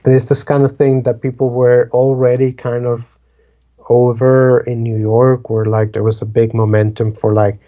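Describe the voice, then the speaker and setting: A man's voice: medium pitch, flat delivery, normal speed, warm tone, dull audio. A man in his twenties from the Dominican Republic, chatting casually and rambling with many fillers like "like" and "um". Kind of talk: casual conversation.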